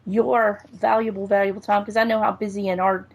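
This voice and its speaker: A woman's voice: mid-range voice, level tone, fairly quick. A woman with a Southern US accent, hosting a podcast in casual interview chat. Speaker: podcast host.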